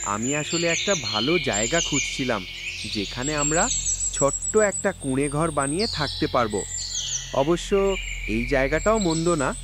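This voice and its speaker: A man's voice: on the high side, expressive, normal speed, noisy background, lightly acted. A man voicing a brave, devoted young village boy in a cartoon, earnest and determined. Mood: caring. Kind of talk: cartoon voice acting.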